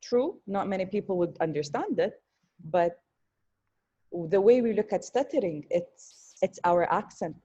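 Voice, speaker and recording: A woman on an online panel, speaking in an earnest, thoughtful way with frequent pauses and repeated words. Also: medium pitch, expressive, dark tone, slightly rough, lo-fi sound. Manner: thoughtful, earnest, with hesitations and repetitions. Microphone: video call.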